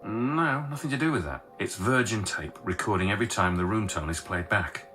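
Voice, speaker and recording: An actor playing a sardonic sound engineer with a wry, sarcastic way of talking. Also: male voice, on the low side, moderate pace, bright, forceful tone, faint music behind. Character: sardonic male sound engineer, dry wit. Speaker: sound researcher. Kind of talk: radio drama acting.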